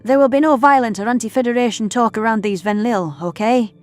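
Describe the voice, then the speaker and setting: A woman playing a patient human scientist in an audio drama, explaining things in a measured, firm way. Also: on the high side, natural voice. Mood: firm, friendly. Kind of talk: audio drama character acting. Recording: music under the voice.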